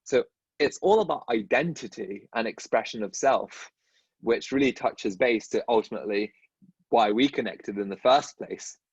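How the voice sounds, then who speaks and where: A male guest speaks conversationally, and his speech catches on repeated word starts. His voice is husky and dry, recorded with dull, muffled lo-fi sound and no room echo. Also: medium pitch, normal speed. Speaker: guest, dentist. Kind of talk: casual conversation.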